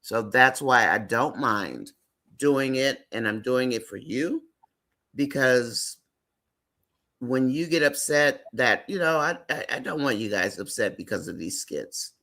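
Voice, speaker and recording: A man hosts a relaxed live chat stream, talking in a loose, rambling way full of fillers. His voice has a bright, forceful edge and sits at an ordinary pitch. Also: measured pace. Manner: chatty and rambling, with frequent fillers.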